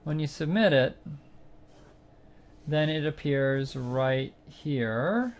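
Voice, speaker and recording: A man narrating a screencast tutorial, explaining a music training website step by step in a plain, unhurried way with occasional fillers. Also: a little high, lively intonation. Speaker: site instructor demonstrating software.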